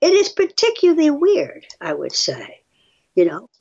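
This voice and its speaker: A woman's voice: sits high, huge pitch swings, moderate pace, gravelly, a little mellow. An older woman reading aloud and explaining in plain, wry, chatty asides, on a slightly dull, muffled recording. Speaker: guest reading and commenting on research studies.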